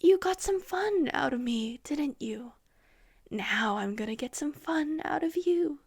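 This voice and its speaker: A woman voicing a bitter, sneering rogue policeman who turns whiny with self-pity, in a breathy, half-whispered storybook reading. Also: high voice, full character voice. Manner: sneering, whining.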